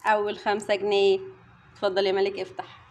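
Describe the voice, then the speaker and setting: A quick-talking young Egyptian mother chats straight to her viewers while tearing open wrappers, her voice at an everyday middle pitch. The phone recording carries a steady hiss, with crinkling and clicks close by. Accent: Egyptian Arabic. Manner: chatty, addressing viewers directly. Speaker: mother vlogger. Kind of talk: casual running commentary while unwrapping candy.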